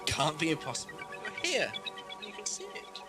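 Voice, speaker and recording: A man's voice: on the high side, huge pitch swings, normal speed. A man with a British accent acting an eccentric, flippant space-travelling scientist in an amateur audio drama. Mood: teasing, proud. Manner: flippant, breezy, teasing. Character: whimsical, eccentric male scientist who travels space and time. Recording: music under dialogue, home-made cassette drama.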